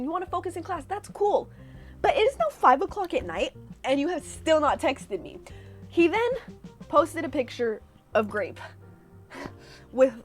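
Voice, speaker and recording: A young woman from California tells stories in a high, bouncy voice that swoops up and down. She is chatty and animated, dropping sarcastic asides as she reacts to old posts. Soft music plays faintly behind her. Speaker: vlogger. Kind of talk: casual reaction vlog storytelling.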